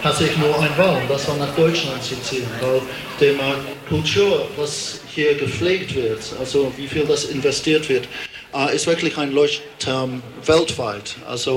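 A middle-aged man from England, a native English speaker with an English accent, giving a public speech haltingly, searching for words. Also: medium pitch. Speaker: opera director as guest speaker. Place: outdoors at a street demonstration. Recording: clearly noisy outdoor rally recording.

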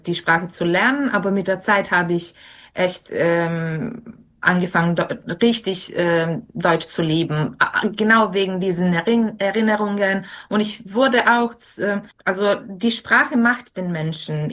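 A young woman, not a native speaker, answering interview questions slowly with many fillers and restarts. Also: fairly low pitch, fairly bright tone. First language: not German. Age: young adult.

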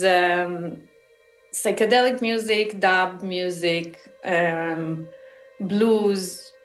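A woman's voice: fairly low pitch, reverberant, rough recording. A woman with an Israeli accent answering interview questions as a musician, reflective and searching for words as they go. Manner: halting, searching for words, pausing mid-phrase. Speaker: musician and composer interviewed about their work.

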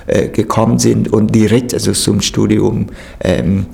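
A man with a Vietnamese accent talks very slowly in a low, extremely creaky voice. His interview answer is spontaneous and full of small hesitations. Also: flat delivery, dark tone. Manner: halting, frequent hesitations.

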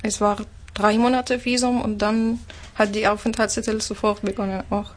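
A woman's voice: medium pitch, level tone, normal speed. A woman from Syria with an Arabic accent, answering as an interview guest in non-native phrasing. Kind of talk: personal talk in a radio interview.

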